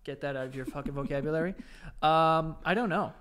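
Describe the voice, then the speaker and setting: A man's voice: on the high side, brisk, a bit bright, smooth. A man in his thirties co-hosting a casual comedy podcast, bluntly teasing, recorded close on a studio mic. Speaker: podcast co-host. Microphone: close desk-mounted studio microphone.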